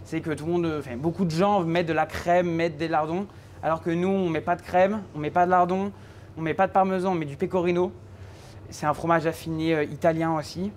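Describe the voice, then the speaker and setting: A young man from Normandy, a culinary student explaining a recipe on a live cooking show in a teaching kitchen, chatting with many filler pauses. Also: a little high, fairly even intonation, slight background noise. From Normandy, France. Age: young adult. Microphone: handheld mic.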